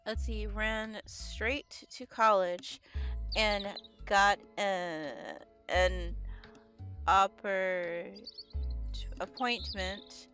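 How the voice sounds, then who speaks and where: A woman streaming a visual novel, reading the text aloud haltingly and stumbling over words as she sounds them out. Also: medium pitch, steady tone, fairly bright tone, soft background music. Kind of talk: halting read-aloud of game text with casual chat.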